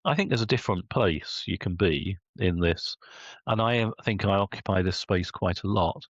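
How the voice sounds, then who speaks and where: Croaky older man with a deep voice. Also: moderate pace, dry recording, highs cut off. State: croaky from flu. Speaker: panel participant.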